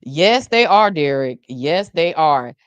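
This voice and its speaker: Woman, podcast host, animated, playful, lower pitch, dry room. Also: takes it slowly, smooth, no echo. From South Florida. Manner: animated, playful, talks to the chat. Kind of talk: casual live news talk.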